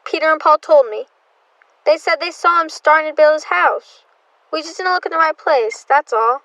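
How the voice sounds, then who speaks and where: A woman's voice: high voice, moderate pace, bright, forceful tone, no echo, low-quality recording. A young woman playing an earnest child, a loyal playmate, in 1930s radio serial style. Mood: apologetic. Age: teenager or young adult.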